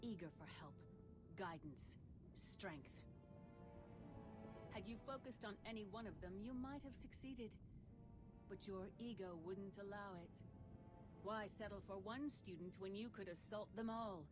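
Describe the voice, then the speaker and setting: A woman playing a resolute Jedi master in a video game, measured, firm and formal. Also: medium pitch, lightly acted. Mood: defiant. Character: resolute female Jedi master, composed and defiant. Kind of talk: dramatic video game voice acting. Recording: music under the voice.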